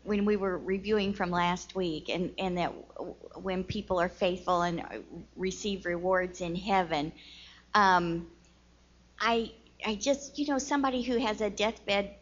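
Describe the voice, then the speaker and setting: A woman's voice: medium pitch, unhurried, muffled recording. Hesitant woman asking a class question. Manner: hesitant, many fillers.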